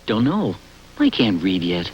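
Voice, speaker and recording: Naive chatty baby, husky, slow, hugely swooping intonation, cute TV ad, dull audio. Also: female voice, very deep voice, big pitch swings, slight background noise, full character voice. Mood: innocent, pleased. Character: naive baby who cannot read, chatting about toys. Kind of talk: cute television commercial voice acting. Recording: dull old TV commercial audio.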